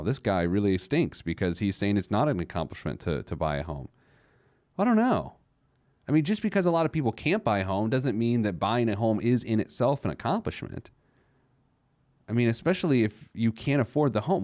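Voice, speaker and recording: A man with a low voice talks as the host of a money advice show. He is conversational yet emphatic, posing questions and answering them himself. The sound is dry and close. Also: a little mellow, no echo. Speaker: host of a personal finance show.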